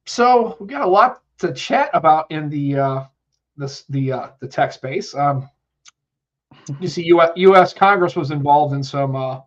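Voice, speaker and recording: A man's voice: on the high side, lively intonation, a little slow, dull audio. A man co-hosting a live tech podcast, a bit congested from a cold, rambling and reading out chat messages. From United States. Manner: rambling, chatty, reads out chat comments. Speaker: podcast co-host. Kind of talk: casual live-stream chat.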